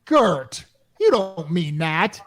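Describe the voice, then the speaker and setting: A woman's voice: fairly low pitch, very animated, very slow, slight background noise, full character voice. A woman playing Frank's part as a flustered, henpecked married repairman, stammering nervously in a radio comedy. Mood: nervous, placating. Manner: stammering, hesitant.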